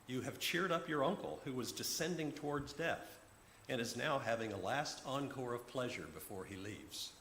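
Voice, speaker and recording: A wise old man as a male storyteller plays him speaks serenely in formal, measured sentences. The voice sits at a medium pitch in a roomy, echoing space with faint noise. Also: fairly bright tone, natural voice. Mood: serene, sage. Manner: formal, measured, sage-like phrasing. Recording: roomy echo, background noise.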